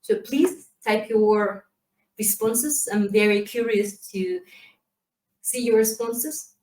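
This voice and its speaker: A woman with a slightly high voice gives a lively, casual conference presentation, chatty and full of fillers. She is heard from a distance in a roomy space through a laptop mic. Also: slow. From Kazakhstan. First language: Kazakh. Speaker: community manager giving a talk.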